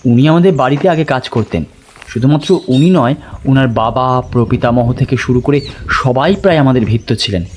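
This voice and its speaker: A man playing an anxious, talkative local gentleman who explains things conversationally in an audio drama. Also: mid-range voice, moderate pace, slight background noise, natural voice. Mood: explaining.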